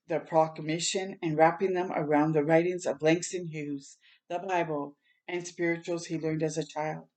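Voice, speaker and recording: A woman's voice: sits low, darkish tone. A woman reading a picture book aloud for children as a storytime host, in a dramatic, heartfelt and emphatic manner.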